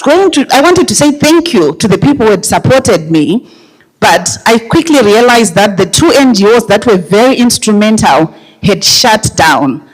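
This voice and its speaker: A woman's voice: medium pitch, very animated, moderate pace, husky, rough recording. A woman with a Zimbabwean accent giving informal, personal opening remarks to a live audience as an organization's chief executive. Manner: personal, addresses the audience directly.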